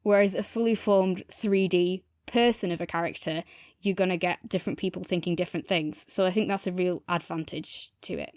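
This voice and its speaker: A woman's voice: fairly low pitch, fairly even intonation, brisk, a little mellow, no room sound, studio quality. A woman chatting casually and thoughtfully as a podcast co-host in a review discussion.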